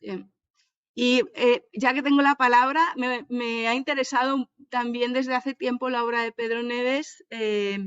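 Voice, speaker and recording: A woman with a Madrid accent joins a seminar by video call and speaks about her ideas, pausing often and searching for her words. Her voice sits a little high and sounds smooth and clear. The line itself is dull and muffled. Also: fairly even intonation. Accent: Madrid Spanish. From Madrid, Spain. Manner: thoughtful, hesitant, searches for words. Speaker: seminar participant. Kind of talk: academic discussion on a video call.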